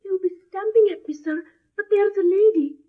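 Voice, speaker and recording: A woman's voice: extremely high pitch, normal speed. An actress playing a naive, chatty young servant girl in a radio drama, artless and deferential. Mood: flustered, deferential. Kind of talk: early radio drama acting. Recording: tinny, band-limited old radio recording.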